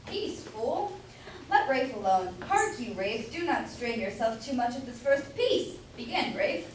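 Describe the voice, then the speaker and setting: A woman's voice: a little high, very animated, measured pace. Echoey woman playing a blustering grocer husband. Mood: bossy. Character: gruff, blustering grocer husband, indulgent of his wife. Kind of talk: amateur staged reading of a period comedy. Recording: echoey room from far off, faint noise.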